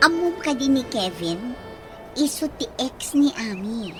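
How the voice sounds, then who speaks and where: An actress with an Ilocano accent playing a teasing, lively young woman in a radio drama. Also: high-pitched, exaggerated voice.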